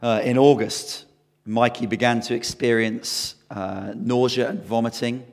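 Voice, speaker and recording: A man preaching a sermon and leading prayer, hoarse from losing his voice, in an earnest, conversational way. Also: medium pitch, fairly even intonation, measured pace, long reverb tail.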